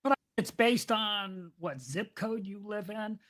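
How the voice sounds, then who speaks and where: A woman's voice: medium pitch, animated, takes it slowly, fairly bright tone. A woman co-hosting a podcast in casual discussion, explaining ideas at length and drifting into side topics. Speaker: podcast co-host.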